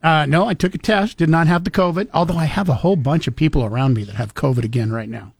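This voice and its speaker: A man hosting morning talk radio with a somewhat high voice. He rambles casually with fillers and laughs easily. The studio mic is close and dead dry. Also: fast talker, creaky, slightly rough, no echo. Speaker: radio talk show host. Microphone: studio microphone.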